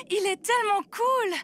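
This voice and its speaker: A woman voicing a bubbly, excitable seahorse girl in an animated series, with a gushing, enthusiastic delivery. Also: extremely high pitch. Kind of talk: French animated series dubbing.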